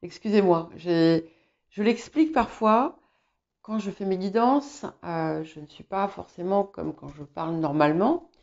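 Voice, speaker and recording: A woman's voice: lowish voice, lively intonation, a little slow, creaky, darkish tone. A woman whose first language is English, giving an informal tarot reading in a hesitant, reassuring manner, often searching for words. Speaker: tarot reader hosting guidance videos. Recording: slightly dull, muffled sound.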